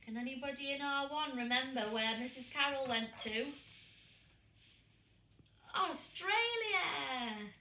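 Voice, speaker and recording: A woman primary school teacher reading a picture book aloud to young children, expressive and asking them questions. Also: sits high, slow pace, pauses often. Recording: echoey room, distant mic.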